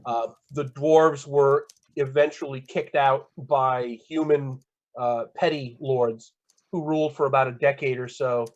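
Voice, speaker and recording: A man in his fifties, a guest author, explaining lore in a measured, hesitant way with many fillers. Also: medium pitch, steady tone, muffled recording. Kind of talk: casual chat, explaining lore.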